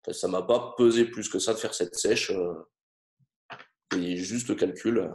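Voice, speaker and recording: A man in his thirties with a fairly low voice, speaking haltingly with frequent fillers. The recording carries a noticeable roomy echo, and his talk stays casual and personal. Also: creaky, darkish tone. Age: 30s. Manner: hesitant, many fillers. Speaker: coaching client. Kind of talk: casual interview testimony.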